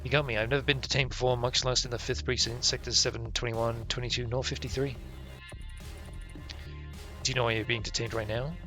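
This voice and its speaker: A man's voice: medium pitch, level tone, a little fast, pauses often. A man live-streaming a game, reading its text aloud and adding casual, sweary, offhand comments, with game music behind him. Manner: casual, profane, offhand asides.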